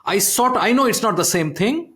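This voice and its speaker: A middle-aged man, a podcast host, telling a story in an animated way and often asking "okay?". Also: sits high, moderate pace. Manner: animated, repeats "I mean" and "okay?". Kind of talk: conversational storytelling.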